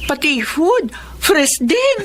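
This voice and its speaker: An actress playing a perky, sassy young city woman in a Filipino radio drama, with expressive delivery. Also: high voice, very slow, exaggerated voice. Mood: perky, teasing. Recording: noisy background.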